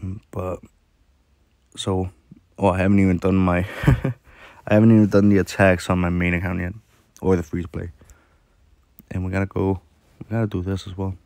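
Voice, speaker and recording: A man with a sore, hoarse throat, rambling through casual commentary while playing a mobile game, with lots of fillers and restarts. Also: low-pitched, little pitch movement, normal speed, pauses often, a little mellow, no echo.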